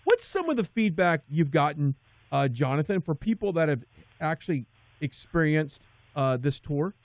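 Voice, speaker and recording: A male radio host speaks with eager enthusiasm, his voice fairly high and very lively in pitch. The sound is dry and close, as in a studio. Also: moderate pace, dry recording. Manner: enthusiastic, affirming. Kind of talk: radio interview.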